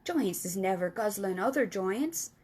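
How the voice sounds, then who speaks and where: A gentle, friendly storybook giant, put on by a woman reading aloud, tumbling over muddled grammar and invented words. He often drops to a soft, hushed whisper. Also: medium pitch, expressive, unhurried, breathy edge.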